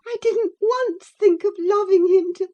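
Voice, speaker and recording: A woman voicing a distraught teenage girl who pleads through tears in a high, cracking voice. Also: a little slow, dark tone, muffled recording. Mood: pleading, sobbing.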